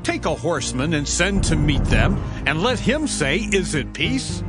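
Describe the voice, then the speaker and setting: A man's voice: on the high side, big pitch swings, measured pace, over loud music. An actor playing an anxious, imperious ancient king, calling out in a dramatized scripture recording. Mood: commanding. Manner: calling out, declamatory. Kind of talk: dramatized scripture audio acting.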